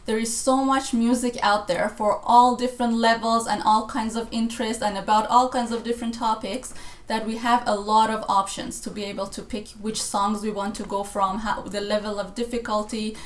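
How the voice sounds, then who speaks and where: A woman's voice: a little high, little pitch movement, moderate pace. Iranian-born woman, roomy video call. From Iran. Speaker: choir director and guest. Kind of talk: conversational interview.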